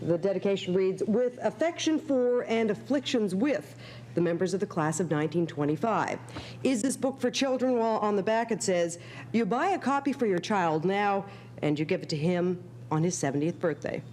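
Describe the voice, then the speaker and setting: A woman's voice: medium pitch, lively intonation, normal speed, darkish tone. An American woman working as a television reporter, asking questions and presenting a story. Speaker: TV news reporter interviewing. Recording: faintly noisy 1980s news footage.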